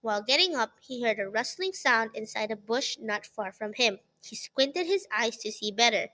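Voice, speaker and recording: A woman's voice: on the high side, animated, moderate pace, sharp tone. A woman narrating a scripted children's story as an author reading her work aloud.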